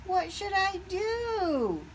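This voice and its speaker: Woman acting a young storybook puppy, high-pitched, slow and childlike, gentle, earnest, slight background noise. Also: very animated, heavily acted. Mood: sad, pleading.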